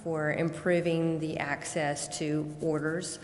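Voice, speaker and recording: A woman with a low, even voice explains a building project to a city council. Her measured answers echo around a large chamber with a long tail, with faint hiss behind. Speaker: representative of a developer at a public hearing.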